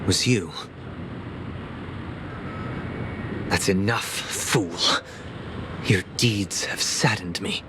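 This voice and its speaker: An actor playing a solemn, refined elder brother in a video game, speaking in a formal, measured, stern way as a controlling family head. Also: male voice, low-pitched, background noise. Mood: cold, accusing. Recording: loud game music behind the voice. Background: loud music.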